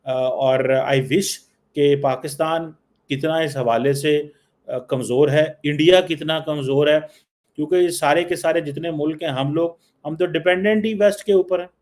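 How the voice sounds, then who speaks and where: Male news commentator, Pakistani accent, raised pitch, speaks off the cuff, hesitant and repetitive. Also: darkish tone. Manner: halting, repetitive, mixes in English phrases. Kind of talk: unscripted political commentary.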